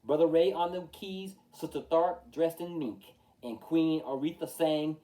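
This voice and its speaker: A man reading a rhyming children's picture book aloud with rhythmic, emphatic, expressive delivery. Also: a little high, a little slow. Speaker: storytime reader. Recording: distant from the mic.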